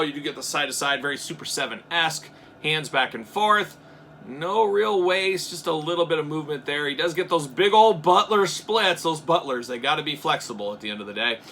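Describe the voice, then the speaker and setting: A young man with a bright, sharp, somewhat high voice chatters through a toy unboxing. He jokes and drifts into tangents, jumping from loud bursts to low mutters. Faint room noise sits behind him. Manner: jokey, rambling asides, swings between loud bursts and mutters. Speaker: toy reviewer.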